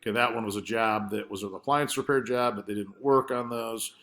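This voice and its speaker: Low-pitched man giving an informal presentation. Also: a little fast, fairly bright tone. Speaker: marketer presenting his ad campaign results. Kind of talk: informal screen-share presentation.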